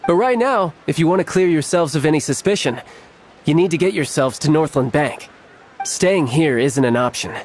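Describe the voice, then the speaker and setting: An actor playing a suave, playful young envoy in a game cutscene, smooth, teasing and glib. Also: male voice, fairly high pitch, expressive, normal speed, slight background noise, close to an everyday voice. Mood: coolly confident.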